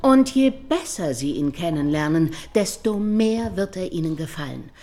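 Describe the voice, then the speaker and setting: A woman playing a self-important, flattering, meddlesome aunt in a radio drama, gushing and insistent. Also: lowish voice, normal speed, soft background music. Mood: self-satisfied gushing. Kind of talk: literary radio drama acting.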